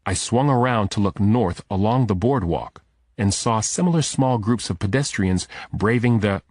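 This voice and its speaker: A man's voice: fairly low pitch, expressive, normal speed, darkish tone, no echo, clean studio sound. A man reading scripted first-person thriller narration as an audiobook narrator.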